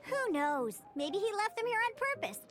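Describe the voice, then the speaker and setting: A woman voicing an excitable, easily flustered young female card-game tutor in an anime-style video game, with expressive stammers and exclamations. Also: very high voice, moderate pace, faint music behind. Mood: hesitant, sheepish.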